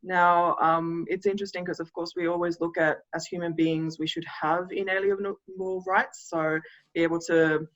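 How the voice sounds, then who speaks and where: A woman from Yugoslavia, a CEO, talking reflectively in a panel discussion, measured and hedging with fillers. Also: lowish voice, flat delivery, a bit bright. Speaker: CEO of a community organisation. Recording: dull lo-fi recording.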